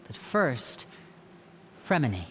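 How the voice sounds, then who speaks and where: A woman voicing a smooth-talking, scheming teenage boy with a theatrical, coaxing manner in a video game. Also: extremely low pitch, big pitch swings, takes it slowly, a little hiss or hum, exaggerated voice. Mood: conspiratorial, earnest.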